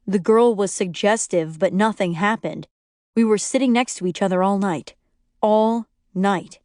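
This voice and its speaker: A woman voicing a calm, reasonable young man backing up his friend. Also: mid-range voice, unhurried, no echo, clean studio sound, lightly acted.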